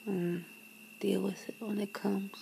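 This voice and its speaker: A woman acts out a wry, guarded lover in an intimate ASMR roleplay. Her voice is mellow and breathy, with a lot of fry, often falling to a whisper. She talks casually and haltingly over noticeable background noise. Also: medium pitch, level tone. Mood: hesitant, pondering. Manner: hesitant, sardonic, casual, sighing. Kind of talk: ASMR roleplay, half-whispered one-sided dialogue.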